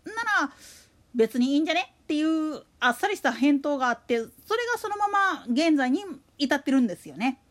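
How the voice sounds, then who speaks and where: A woman's voice: high-pitched, big pitch swings, fairly quick. A woman hosting a solo podcast, talking casually in chatty, slangy, colloquial speech. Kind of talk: casual monologue about a radio announcer.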